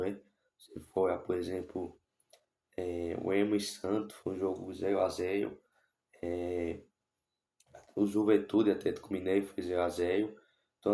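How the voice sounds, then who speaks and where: A young man with a Brazilian accent, hoarse from a cold, chatting casually about football in a halting way with many restarts. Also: low-pitched, darkish tone. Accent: Brazilian Portuguese. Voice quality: gravelly. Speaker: football vlogger. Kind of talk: casual football commentary to camera. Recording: echoey room.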